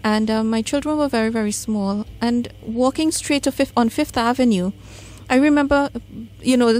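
A woman's voice: a little high, darkish tone, poor audio. A woman with a Trinidadian accent, telling personal stories as a guest in a radio studio interview. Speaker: radio guest, spiritual medium. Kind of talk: conversational interview, personal storytelling. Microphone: studio microphone.

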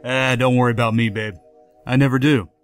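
A man's voice: mid-range voice, fairly even intonation, fairly quick, no room sound, soft background music, close to an everyday voice. A man voicing characters in an acted comic book dub. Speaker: voice actor.

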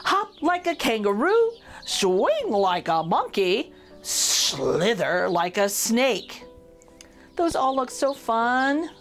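Slow, animated woman reading to children. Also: a little high, faint music behind. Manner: addresses young listeners directly, playful. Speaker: picture-book reader for children. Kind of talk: expressive children's read-aloud.

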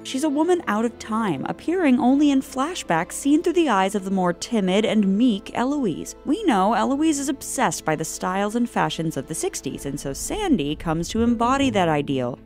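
Woman, scripted video essay narration, higher voice, animated intonation, music bed. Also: moderate pace, few pauses.

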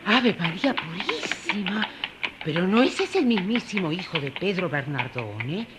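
A woman playing a gossipy, excitable townswoman in a radio drama, chattering and exclaiming in a rambling way. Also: on the low side, a little slow, very noisy. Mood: excited alarm.

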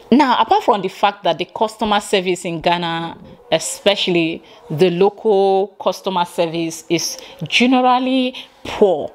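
A Nigerian woman in her forties, giving a lively reaction commentary from her living room, full of rhetorical questions. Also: mid-range voice. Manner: animated, exclaiming, rhetorical questions. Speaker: vlogger reacting to a clip.

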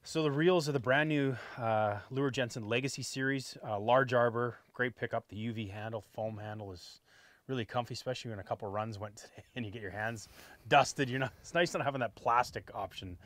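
A man's voice: medium pitch, brisk, no room sound. A man in his forties hosting a fishing show, chatting casually about his gear outdoors on a boat with a lapel mic. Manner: relaxed and chatty, tags lines with "right?".

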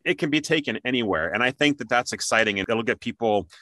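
A man's voice: mid-range voice, expressive, fast talker, pushed and bright, dry recording. A man hosting an interview show in an upbeat, chatty, promotional way.

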